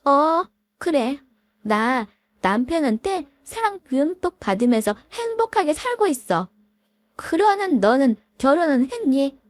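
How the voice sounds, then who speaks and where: Lady doing a high lively wife voice, sharp and accusing, dry close mic. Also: measured pace, no room sound. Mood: mocking. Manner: sharp, accusing, cold retorts. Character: wife, middle-aged woman, long-hurt and now defiant.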